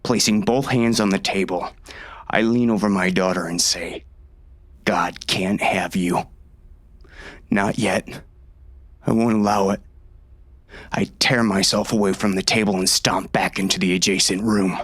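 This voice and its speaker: A man's voice: deep voice, fairly even intonation, a little slow, pauses often, fairly bright tone. An actor playing a hard-bitten western sheriff and grieving, furious father, narrating a dramatic audiobook in an intense, menacing way. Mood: grieving, determined, then defiant.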